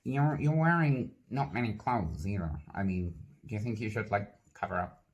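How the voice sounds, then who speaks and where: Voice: medium pitch, moderate pace, lo-fi sound, lightly acted. A puppeteer voicing a nosy, clumsy sock puppet host who asks awkward questions full of nervous stammers. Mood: uneasy. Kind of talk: comedy puppet sketch.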